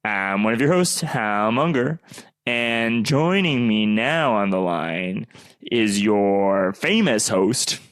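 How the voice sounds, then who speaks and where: A man's voice: lowish voice, animated, takes it slowly, fairly bright tone, dry recording, studio quality. A man co-hosting a podcast with playful, mock-grand banter. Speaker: podcast co-host.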